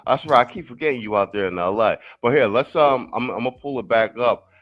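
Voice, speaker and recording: A man's voice: mid-range voice, fast, highs cut off. A man hosting a casual stream, talking loosely and profanely with lots of restarts. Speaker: stream host. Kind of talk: casual talk-show chat.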